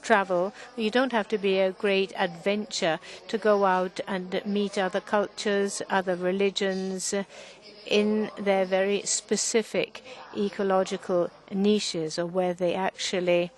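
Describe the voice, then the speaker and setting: Woman interpreter, mid pitch, slow and halting delivery, restarts mid-word. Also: poor audio. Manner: halting, frequent restarts and self-corrections. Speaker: conference interpreter. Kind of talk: simultaneous interpretation of a panel talk.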